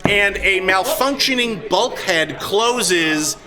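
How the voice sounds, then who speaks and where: A man acting as game master, improvising narration and rulings in a halting way at a live tabletop game with a crowd around. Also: high-pitched, animated. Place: large convention hall. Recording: live convention hall, loud audience chatter. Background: crowd noise.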